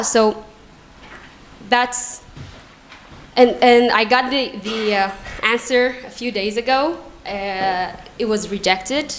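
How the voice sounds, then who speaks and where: A young woman student, Hebrew her first language, answering on a conference panel, halting with many fillers and restarts. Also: medium pitch, a little hiss or hum, low-quality recording. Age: young adult. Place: conference hall.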